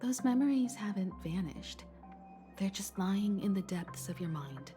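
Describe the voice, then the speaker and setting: A woman voicing a calm, kindly young companion with a gentle, reassuring manner, in scripted video game acting. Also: mid-range voice, unhurried, faint music behind, natural voice.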